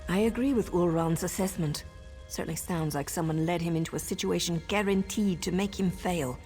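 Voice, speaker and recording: An actress playing a pragmatic, principled dark elf assassin in a fantasy video game, sober and matter-of-fact. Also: female voice, on the low side, fairly quick, faint music behind, lightly acted. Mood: wary, resolute.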